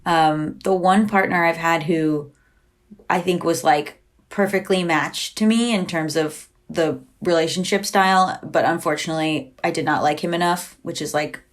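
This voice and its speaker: A woman chatting openly as a guest, her voice sitting a little low for a woman. She talks in loose, restarting bursts packed with "like," playing out quoted exchanges and breaking into laughter often. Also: steady tone, moderate pace. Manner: chatty, heavy use of "like", acts out quoted exchanges. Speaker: podcast guest. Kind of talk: candid conversational interview.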